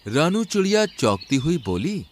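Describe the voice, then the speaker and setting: A man's voice: on the high side, sing-song, normal speed. A man narrating a scripted children's cartoon story.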